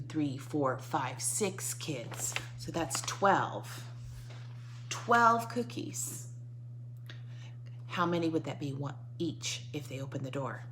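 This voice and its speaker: Slow, low-voiced woman teacher, noisy recording. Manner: instructive, coaxing the listener with questions and repetition. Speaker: teacher reading aloud to young children. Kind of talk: picture-book read-aloud with teaching asides and questions.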